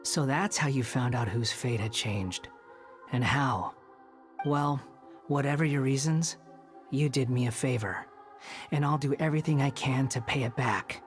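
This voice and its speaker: A man's voice: medium pitch, unhurried, natural voice. Man playing a proud, sardonic young wanderer. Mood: aloof, determined. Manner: dry, sardonic, aloof. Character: proud, bitter, sardonic young male wanderer, former villain. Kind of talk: video game voice acting. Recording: background music under voice.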